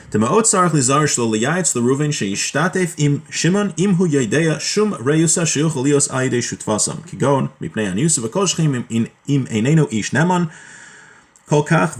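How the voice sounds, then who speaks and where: Man explaining laws from a Hebrew text. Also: on the high side. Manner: reads Hebrew passages, then explains them plainly in English; relaxed, with frequent fillers and self-corrections. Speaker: Torah teacher.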